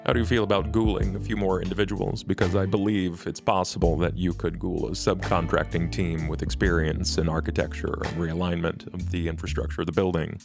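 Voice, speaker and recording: A man voicing a shrewd, composed vampire financier in a tabletop roleplay, speaking in a measured, formal, understated way. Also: deep voice, little pitch movement, vocal fry, music in the background. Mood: persuasive.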